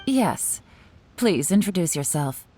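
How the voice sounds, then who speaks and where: A woman's voice: lowish voice, big pitch swings, takes it slowly, warm tone, soft background music. An actress playing a composed, enigmatic woman assessor in a video game, controlled and knowing. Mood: businesslike. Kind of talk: video game character acting.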